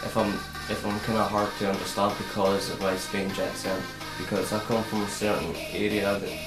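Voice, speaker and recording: A teenage boy talking to camera in a casual vlog, halting and repeating himself with many fillers. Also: fairly low pitch, level tone. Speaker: vlogger. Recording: roomy echo with electronic music behind.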